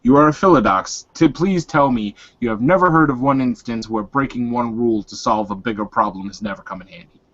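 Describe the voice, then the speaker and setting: A man voicing a serene, scheming werewolf leader in a tabletop game, with deliberate, emphatic delivery. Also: medium pitch, a bit bright, no echo, dull audio, lightly acted. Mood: argumentative.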